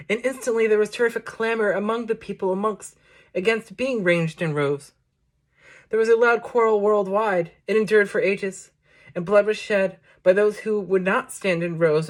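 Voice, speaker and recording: A woman reading poetry aloud, delivering it as a measured, declamatory recital in short phrases. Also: medium pitch. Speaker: poetry reader introducing a book.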